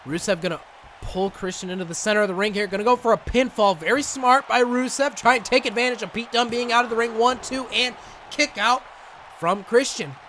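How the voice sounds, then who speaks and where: A young man doing excitable play-by-play commentary over a wrestling video game, coughing and saying he is losing his voice. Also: very high-pitched, fairly quick, a little hiss or hum. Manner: excitable, rising to shouts at big moments. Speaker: gaming channel host and commentator.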